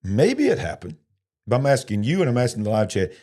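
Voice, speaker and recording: A man's voice: mid-range voice, huge pitch swings, a little fast, mellow. A man in his fifties with an Alabama Southern accent, hosting casual live commentary in a folksy way, repeating himself for emphasis. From southern United States. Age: 50s. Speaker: host of a live show.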